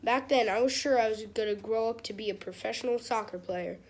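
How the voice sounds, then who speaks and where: A woman's voice: on the high side, brisk, a little mellow. A woman reading a children's book aloud in a casual, stumbling way, with chatty, informal asides. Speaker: amateur reader of a children's book. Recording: clearly noisy home recording with clicks.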